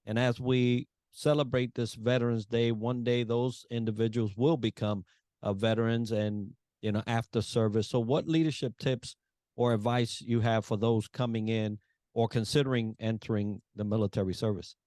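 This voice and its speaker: A man hosting a podcast, asking his guest questions in a measured way with frequent fillers. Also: medium pitch, steady tone, dry recording. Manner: measured, hesitant with frequent fillers, warmly appreciative. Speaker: podcast host. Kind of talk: interviewing a guest.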